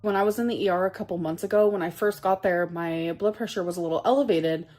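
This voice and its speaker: A woman's voice: on the low side, quick pace, a little mellow. A woman talking casually in a personal vlog. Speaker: video blogger.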